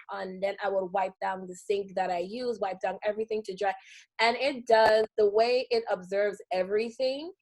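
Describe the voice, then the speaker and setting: A woman from Guyana hosting an interview, chatting casually and animatedly and laughing often. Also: medium pitch. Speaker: podcast host.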